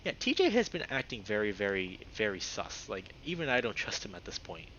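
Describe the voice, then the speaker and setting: A man's voice: on the low side, big pitch swings, a little fast, a bit bright. A man live-streaming a visual novel, reading it aloud and chatting casually with viewers. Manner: chatty, greets viewers by name. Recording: dull lo-fi microphone, faint noise.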